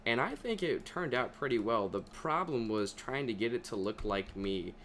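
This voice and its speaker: A young man chatting casually over his gameplay, his voice deeper than usual and talking to himself as he plays. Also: animated, moderate pace, fairly bright tone, slight background noise. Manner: chatty, talks to himself while playing. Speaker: gaming video creator.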